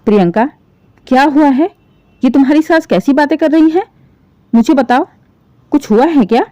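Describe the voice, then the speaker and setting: A woman puts on a worried, protesting mother from an audio family drama. Her voice is high and very animated, plaintive and questioning as she pleads for her married daughter. The recording is close and dry. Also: moderate pace, no echo.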